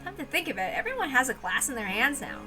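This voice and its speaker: A woman's voice: sits high, very animated, quick pace, over music, low-quality recording. A woman reading third-person narration from a visual novel as a story reader.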